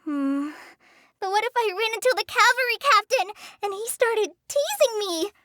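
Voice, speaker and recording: A woman's voice: very high voice, expressive, dry recording, studio quality, heavily acted. A woman voicing a shy, earnest teenage girl in a video game, hesitant and apologetic in the way she talks. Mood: anxious. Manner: hesitant, apologetic, soft-hearted, halting with stammers. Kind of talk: video game character voice lines.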